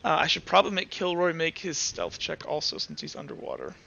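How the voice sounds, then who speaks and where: A man running a tabletop game as game master, narrating casually with halting, informal, sweary improvisation. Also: fairly high pitch, sing-song, vocal fry, sharp tone, dull audio.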